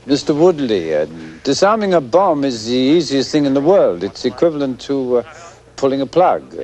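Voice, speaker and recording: A man's voice: mid-range voice, very animated, moderate pace, darkish tone, natural voice. A man acting as a physicist gives expert comment on live news. His mid-range voice moves animatedly as he explains things formally and matter-of-factly, with emphasis. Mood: matter-of-fact, concerned. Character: matter-of-fact male physicist explaining danger on live television.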